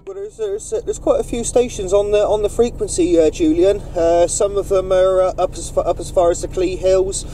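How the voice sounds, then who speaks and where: A man running a CB radio net, chatting informally in radio jargon with halting phrasing. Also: sits high, background noise.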